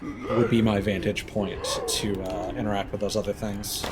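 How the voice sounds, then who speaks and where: A man's voice: on the low side, little pitch movement, normal speed, very noisy. A man doing casual live gameplay commentary, muttering to himself in short reactions over game sound. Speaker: video game streamer.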